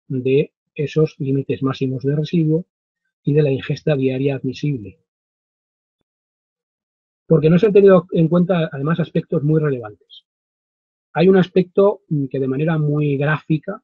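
A man, giving an explanatory webinar talk in a deliberate, emphatic way with frequent pauses. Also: fairly high pitch, slightly rough. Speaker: webinar presenter. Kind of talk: explanatory lecture, semi-improvised. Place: small wood-panelled home study, indoors. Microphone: computer webcam microphone at desk distance. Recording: dull, roomy webcam audio.

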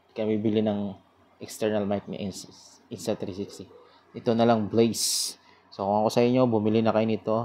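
A man giving a casual product review in an informal, sales-pitch manner. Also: mellow, muffled recording.

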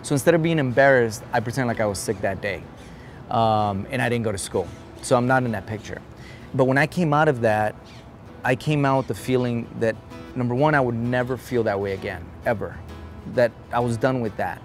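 A man's voice: medium pitch, fairly quick, soft background music. A man in his forties, originally from Guatemala, talking about his life in an outdoor interview, in an earnest, conversational way. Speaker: interviewee, artist. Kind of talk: casual interview talk. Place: outdoor patio in daylight.

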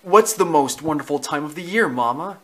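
A man voicing curious, excited young puppies asking questions in a storybook reading. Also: a little high, fairly quick, pitched above the performer's own voice, lightly acted.

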